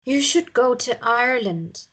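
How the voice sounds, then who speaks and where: A woman voicing a frail, ailing wife in a drama script read, gently pleading. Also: a little high, expressive, unhurried, slightly rough, full character voice. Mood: weak, wistful.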